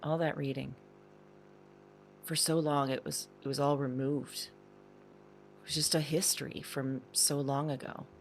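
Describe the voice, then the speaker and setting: A woman's voice: extremely low pitch, level tone, measured pace, pauses often, slight background noise. A woman playing a shaken, thoughtful diarist in an intimate audio drama monologue, speaking hesitantly in broken, reflective phrases.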